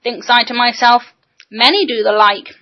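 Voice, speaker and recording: A woman's voice: fairly high pitch, steady tone, normal speed, close to an everyday voice. A woman reading a fussy fretful lady. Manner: fussy, exclaiming. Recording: dull lo-fi recording.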